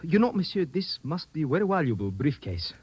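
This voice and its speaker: An actor playing a shifty, money-minded foreign guide with a European accent and excitable broken English in an old radio drama. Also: male voice, fairly high pitch, brisk, husky. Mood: curious. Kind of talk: 1940s radio drama acting. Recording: noisy old radio recording with clicks.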